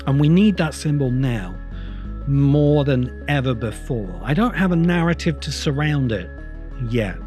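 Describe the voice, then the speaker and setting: A man delivering a reflective, semi-improvised spoken essay as a host, with deliberate, halting phrasing and emphatic repeats. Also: mid-range voice, lively intonation, a little mellow, faint music behind. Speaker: host of a science fiction video essay podcast.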